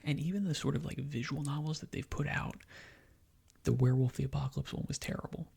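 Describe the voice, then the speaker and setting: A man giving soft-spoken ASMR gaming commentary in a breathy half-whisper, rambling and opinionated in a conversational way. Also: a little high, steady tone, quick pace. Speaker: gaming video host.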